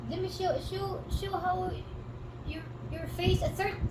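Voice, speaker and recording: Mom reading lines at home, high voice, trips on words, roomy and noisy recording. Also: female voice, fairly even intonation, measured pace. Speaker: mother reading lines at family table read. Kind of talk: amateur script reading.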